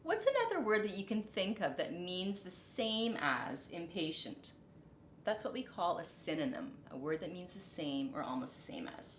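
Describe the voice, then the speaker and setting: A woman's voice: on the low side, big pitch swings, moderate pace. A woman teacher narrating a storybook to children in an explanatory, questioning manner. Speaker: teacher reading aloud. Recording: roomy echo, background noise.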